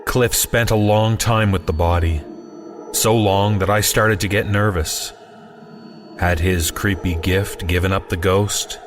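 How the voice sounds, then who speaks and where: A man's voice: low-pitched, fairly even intonation, unhurried, noisy background. A man narrating a scripted first-person horror story in a conversational way with wry asides. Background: music.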